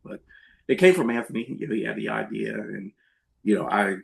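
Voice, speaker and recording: A middle-aged man with a heavily creaky, husky voice speaks as a guest writer. He is candid and conversational, earnest and reflective, and pitched on the low side. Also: a little fast. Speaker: screenwriter, panel guest.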